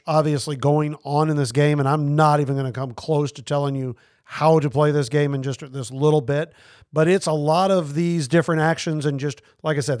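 A man's voice: fairly high pitch, darkish tone, dry recording, clean studio sound. A man hosting a casual board game review chat, explaining the game with lots of fillers and restarts. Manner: rambling, many fillers and restarts. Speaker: board game podcast host.

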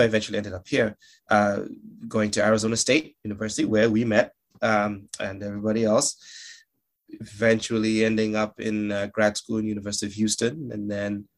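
Young guy from malawi, kinda low voice, jokey and laughy, stumbles over his words a lot in a relaxed chat. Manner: laughs often, joking, stammering restarts. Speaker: actor and guest. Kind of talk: casual interview chat.

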